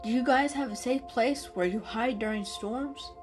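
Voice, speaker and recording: A woman's voice: fairly high pitch, moderate pace, darkish tone, soft background music, pitched above the performer's own voice, lightly acted. A woman voicing a friendly, reassuring grown male cat who chats and explains kindly in a storybook reading. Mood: cheerful, helpful.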